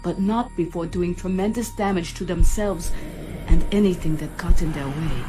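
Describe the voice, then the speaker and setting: A woman playing a calm, resolute ship's artificial intelligence in a motion comic, speaking in a precise, clinical manner. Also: lowish voice, moderate pace, over music, lightly acted. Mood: clinical, explaining. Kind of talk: scripted motion comic acting.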